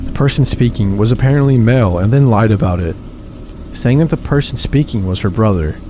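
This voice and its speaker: A man narrating first-person gaming horror stories in a steady, measured reading. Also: fairly low pitch, noisy background. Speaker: channel host reading submitted stories. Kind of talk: scripted horror story narration.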